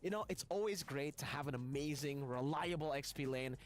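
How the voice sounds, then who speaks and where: A man commentating an esports match, excitable and quick to react. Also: on the high side. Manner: animated, excitable. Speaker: esports caster.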